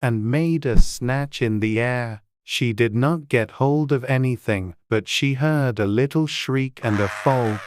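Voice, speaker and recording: A man reads a classic children's story aloud at an unhurried, measured pace. His delivery is gentle and even, and the recording is close and very dry. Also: medium pitch, dry recording. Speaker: audiobook narrator. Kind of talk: scripted storybook narration.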